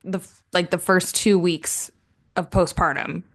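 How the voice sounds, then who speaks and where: A woman's voice: lowish voice, steady tone, unhurried. A woman in her early thirties hosting a casual chat, curious and asking lots of questions. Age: 30s. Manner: curious, asks many questions. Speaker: podcast host.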